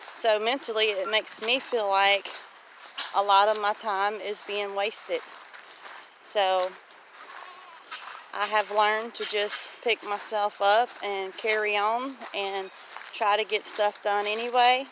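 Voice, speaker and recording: A woman's voice: mid-range voice, level tone, slow pace. A woman of about fifty chatting casually as a homestead vlog host, reflective and plain-spoken. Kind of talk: casual walk-and-talk vlog. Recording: loud outdoor noise, clicks, honking geese.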